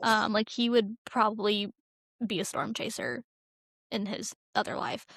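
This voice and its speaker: A woman's voice: medium pitch, steady tone, a little slow, fairly bright tone, dry recording. A young woman co-hosting a podcast, talking casually about pop culture in chatty, slangy, informal speech. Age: young adult. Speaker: podcast co-host.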